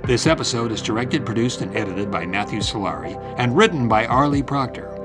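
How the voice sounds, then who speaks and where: A man's voice: mid-range voice, animated, a little fast, music in the background. A man reading scripted podcast narration as an announcer. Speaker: credits announcer.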